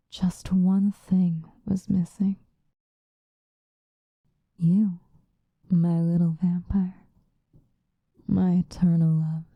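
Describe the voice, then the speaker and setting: A woman playing a possessive, obsessive female vampire lover in an ASMR roleplay, sinister yet tender, intimate and breathy, often slipping into whispers. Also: on the low side, dry recording, muffled recording, heavily acted. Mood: adoring, possessive. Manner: intimate, breathy, drawn-out seductive delivery, often dropping into whispers.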